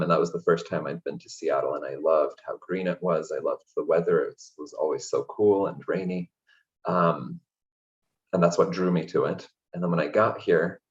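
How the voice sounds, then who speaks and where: A man from Hungary, a guest in an interview, speaking in a relaxed, chatty way with many fillers over a video call. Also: sits low, dull audio. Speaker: interview guest, language access programs director. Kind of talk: casual interview conversation.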